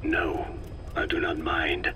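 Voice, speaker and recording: A man playing a very old, solemn Navajo scientist in a video game, speaking slowly and formally with a breathy, whispery voice full of regret. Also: rumbling low, level tone, bright, forceful tone, slight background noise, heavily acted. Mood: mournful, grave. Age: older. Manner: slow, solemn, measured, formal. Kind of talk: dramatic game dialogue, reflective monologue.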